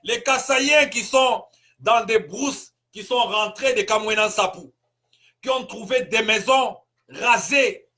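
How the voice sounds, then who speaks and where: Impassioned high-voiced Congolese preacher. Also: male voice, slow pace. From Congo (DRC). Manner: emphatic, rhetorical questions, bursts of shouting. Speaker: pastor. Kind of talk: impassioned political sermon.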